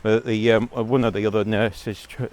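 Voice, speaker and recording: A man's voice: on the low side, flat delivery, moderate pace, a little mellow. Rambling man talking on a walk. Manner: rambling, digressive, blunt. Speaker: vlogger. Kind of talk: casual rambling monologue.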